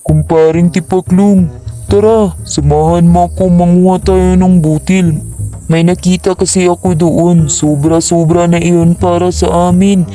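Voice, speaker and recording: Someone doing a blunt male cartoon animal, mellow dark tone, faint music. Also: mid-range voice, level tone, measured pace, close to an everyday voice. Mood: friendly urging. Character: male storybook animal, grasshopper's acquaintance. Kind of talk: cartoon fable character dialogue.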